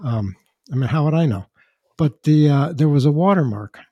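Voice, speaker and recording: An older man with a slightly raspy voice, chatting casually as a podcast host and telling rambling anecdotes with dry asides. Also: on the high side, lively intonation, normal speed, no echo, highs cut off. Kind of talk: casual chat, storytelling.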